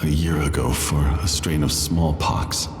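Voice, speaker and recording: Boastful, formal scholar of science, extremely deep voice, assured game character, music behind, hall reverb. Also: male voice, fairly even intonation, a little slow. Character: proud, assured male man of science, formal. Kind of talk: video game dialogue. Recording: echoing hall with prominent music.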